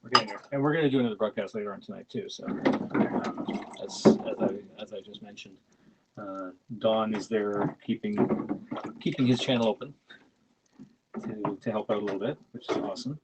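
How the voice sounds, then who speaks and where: A middle-aged man with a small-town Ontario Canadian accent hosts a casual livestream chat in a fairly low voice. He rambles along with plenty of fillers and restarts. Loud noise sits under the recording. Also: moderate pace. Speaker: livestream host.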